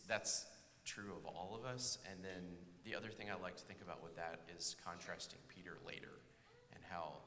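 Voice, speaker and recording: A man's voice: low voice, pushed and bright. A man, a father and Sunday school teacher, sharing thoughtfully on stage in a church. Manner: thoughtful, measured with fillers. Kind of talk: casual testimony on stage. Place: church sanctuary. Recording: echoey hall with faint room noise.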